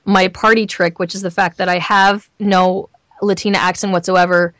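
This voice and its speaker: A woman with a Southern California accent talking candidly in an interview as a voice actor, emphatic and expressive in how she tells things. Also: medium pitch, normal speed, dry recording. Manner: animated storyteller, emphatic repetition, acts out imagined lines. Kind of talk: candid, chatty interview talk.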